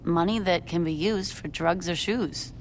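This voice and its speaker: An actress playing a laid-back, wisecracking young woman gang member in a video game, casual and deadpan. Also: fairly low pitch, measured pace, a little hiss or hum, natural voice.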